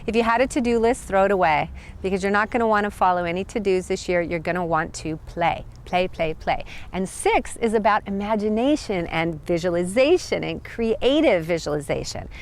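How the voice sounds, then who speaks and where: An American woman teaching about chakras, talking casually to camera outdoors in a park, gentle and upbeat. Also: mid-range voice, animated, fairly quick. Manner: gentle, upbeat, laughs often. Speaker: chakra teacher, channel host.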